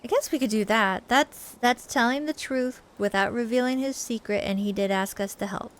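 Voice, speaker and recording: A woman's voice: on the high side, normal speed. A woman commenting on a game playthrough in a playful, reactive, exclamatory way, with music behind her. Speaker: gaming streamer. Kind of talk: playthrough commentary with amateur character reading.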